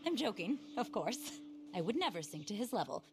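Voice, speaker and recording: A woman's voice: mid-range voice, sing-song, fairly quick, a little hiss or hum. A woman acting an eccentric, passionate, animal-loving naturalist in a video game, theatrical, earnest and a little scattered. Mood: bitter. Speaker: quest-giving wildlife specialist in a western game.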